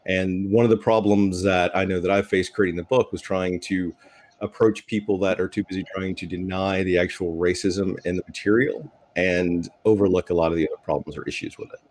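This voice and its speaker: A man's voice: sits low, steady tone, brisk, darkish tone. A man speaking thoughtfully as a panelist in a discussion over a video call. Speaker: panelist, game designer. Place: indoor room at home.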